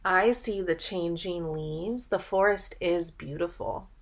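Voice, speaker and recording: A young adult woman reading a children's picture book aloud in an expressive, teacherly way, pausing to ask simple questions. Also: on the low side. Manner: animated storytelling, deliberate enunciation, audible gasps. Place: small furnished room at home, indoors.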